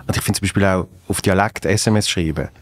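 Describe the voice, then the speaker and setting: A man's voice: deep voice, fairly even intonation, fast. A young man with a Basel Swiss German accent hosting a podcast, talking hesitantly with many restarts and easy laughs. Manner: hesitant, repeats words, laughs often. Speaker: podcast host. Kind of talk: casual conversation. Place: dark podcast studio at a table. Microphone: studio desk mic on a boom arm.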